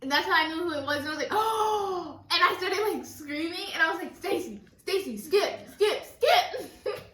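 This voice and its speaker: A young woman vlogger chatting casually and retelling stories in an animated way, quoting who said what. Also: extremely high pitch, normal speed, hardly any pauses. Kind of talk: casual storytelling chat during a mukbang. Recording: roomy echo, distant mic.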